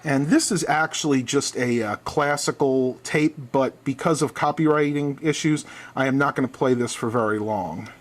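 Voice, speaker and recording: A man with a mid-pitched voice presents a casual product review. He talks as he demonstrates, walking through each step in a methodical, explanatory way. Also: moderate pace, few pauses.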